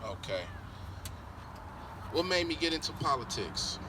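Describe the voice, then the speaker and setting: Middle-aged guy from columbus ohio running for school board, emphatic, keeps repeating himself, loud traffic noise outside. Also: fairly high pitch, very animated, unhurried. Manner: emphatic, repeats points, frequent restarts. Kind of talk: answering interview questions. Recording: noisy outdoor recording with traffic.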